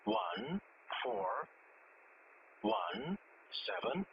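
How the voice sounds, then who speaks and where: Male numbers station voice, extremely slow isolated digits, swooping pitch, shortwave radio, dull lo-fi sound. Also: very animated, creaky, background noise.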